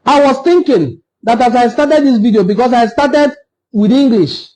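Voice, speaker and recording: A man's voice: very high voice, animated, normal speed, dark tone, low-quality recording. A man from Nigeria with an Igbo-language accent, speaking as the host of an informal, impassioned history talk in an assertive, argumentative way with emphatic repetition.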